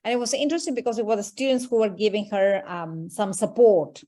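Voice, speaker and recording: A woman's voice: mid-range voice, quick pace, dark tone. Woman, native Spanish speaker, thoughtful. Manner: thoughtful, with restarts and fillers. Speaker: teacher educator. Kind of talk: workshop discussion comment.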